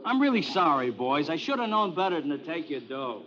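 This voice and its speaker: An American actor playing a slick, apologetic bookie in 1940s radio style, talking in streetwise slang with mock regret. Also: male voice, fairly high pitch, very animated, fairly quick, crowd noise. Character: slick, apologetic betting-parlor bookie, adult man. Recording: muffled old radio recording.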